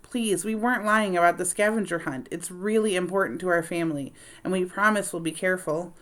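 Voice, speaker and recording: A woman's voice: lowish voice, a little fast, voice pushed up, lightly acted. A woman voicing an earnest, anxious, bookish young girl in a storybook read-aloud. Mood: pleading, earnest.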